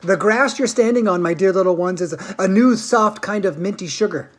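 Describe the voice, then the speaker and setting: A woman reading the part of an eccentric, excitable chocolate maker in a grand, gushing, theatrical voice. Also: mid-range voice, moderate pace, natural voice.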